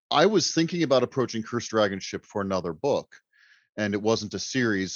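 A man chatting casually as a guest author on a podcast, wordy, self-deprecating and joking. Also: sits low. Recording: roomy, slightly dull microphone sound.

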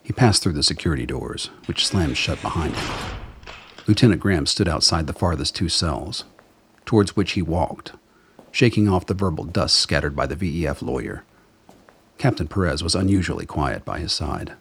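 A man reading scripted dramatic narration as the narrator of a radio play, with a husky voice. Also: extremely low pitch, lively intonation, normal speed, fairly bright tone, slight background noise.

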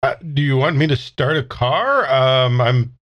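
A man's voice: medium pitch, lively intonation, measured pace, a bit bright, highs cut off. A man with a wry, joking manner playing a tabletop game in casual banter. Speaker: player.

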